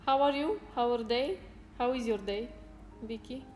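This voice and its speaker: A woman whose first language is Spanish, chatting casually with viewers on a live stream, unhurried and pausing to search for words. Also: on the high side, a little mellow. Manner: unhurried, pauses to search for words, light laughs. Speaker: fitness streamer. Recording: roomy echo with faint music.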